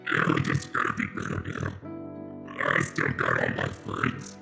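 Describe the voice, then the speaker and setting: A man voicing a weary, self-doubting animatronic alligator in a comic dub, gruff and brooding as he talks to himself. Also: lightly acted. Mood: forlorn. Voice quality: deep, gravelly growl. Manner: brooding monologue, muttering to himself. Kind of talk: dramatic comic dub monologue. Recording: loud music behind the voice.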